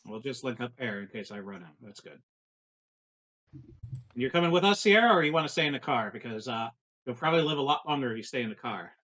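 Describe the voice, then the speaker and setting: Casting: male tabletop player, bright edgy voice, middle register, talkative and jokey, conversational. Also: medium pitch, expressive, fast. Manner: talkative, joking asides. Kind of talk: casual chat during a game.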